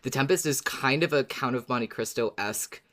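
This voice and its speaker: A woman's voice: very deep voice, moderate pace, a bit bright. A woman co-hosting a podcast, eagerly explaining her research in casual discussion. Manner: enthusiastic, explains research at length. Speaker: podcast co-host.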